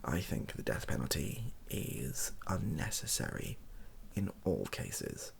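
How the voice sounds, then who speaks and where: A young man speaking softly and breathily in a casual, rambling monologue, hesitant and self-deprecating as he gives his opinions. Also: a bass voice, big pitch swings, slow, slight background noise. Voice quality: soft, husky, breathy. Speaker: online video creator giving opinions.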